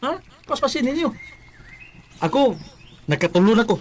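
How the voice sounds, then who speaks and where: A man's voice: extremely high pitch, very animated, moderate pace, a little hiss or hum. A man with a Cebuano accent playing a jovial, teasing best friend who talks in chummy exclamations in a radio drama. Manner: chummy, exclamatory, repetitive tags. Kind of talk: Bisaya radio drama acting.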